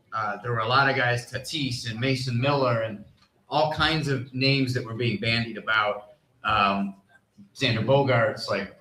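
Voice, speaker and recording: Man, bright edgy tone, mid-pitched, sports reporter, measured explaining, conversational, echoey live stage. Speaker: baseball reporter on panel.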